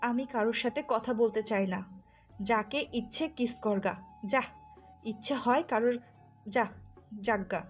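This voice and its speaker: A woman's voice: fairly high pitch, a little slow, pauses often, natural voice. A woman playing a wounded, jealous wife who scolds and accuses sharply in an audio story. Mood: jealous, accusing. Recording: music under the voice.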